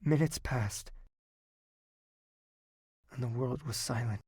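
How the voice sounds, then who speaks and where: An actor playing an anguished young prince in a fantasy audio drama, raspy and emotionally intense. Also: male voice, mid-range voice, slow, a little mellow, no room sound, clean studio sound. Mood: stunned grief. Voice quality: raspy, strained.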